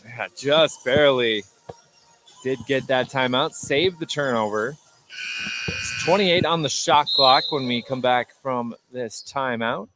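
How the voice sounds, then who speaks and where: A man calling live high school basketball play-by-play as a radio commentator. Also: measured pace, soft background music. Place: indoor basketball gym during a game. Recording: noisy gym ambience, bouncing basketballs. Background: loud gym ambience, basketball bounces.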